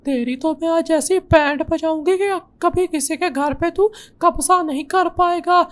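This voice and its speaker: A woman's voice: very high-pitched, a little slow. A woman voicing a bossy, fierce female eagle who protects small birds in a cartoon. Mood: angry, scolding. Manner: assertive, scolding. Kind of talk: Hindi cartoon voice acting.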